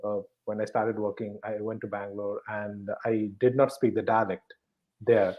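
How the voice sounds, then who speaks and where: A man from eastern India, a native Bengali speaker with a Bengali Indian accent, answering casually in an interview at home in halting, repetitive phrases. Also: fairly low pitch, flat delivery, highs cut off. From West Bengal, eastern India. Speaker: podcast guest, bank professional.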